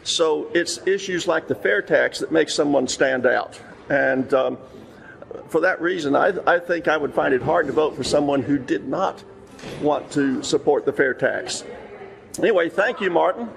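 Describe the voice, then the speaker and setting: A man speaks with a gravelly, fry-heavy voice that sits a bit high for a man. He sounds casual and halting, pausing on "um" and "uh" as he wraps up his remarks as an author speaking for a cause. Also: slight background noise. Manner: halting, with fillers. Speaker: author and tax advocate.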